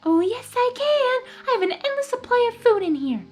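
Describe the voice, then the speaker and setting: A woman voicing a stubborn, defiant young female pony held captive, shrill and indignant, in a storybook reading. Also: very animated, normal speed, faint music behind.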